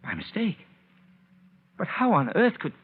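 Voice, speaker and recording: Through a muffled vintage radio sound comes a nervous husband in a 1940s drama. His voice is husky, and he stammers in protest with big swings of intonation. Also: sits high, huge pitch swings, measured pace, heavily acted. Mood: bewildered. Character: nervous, flustered husband under suspicion.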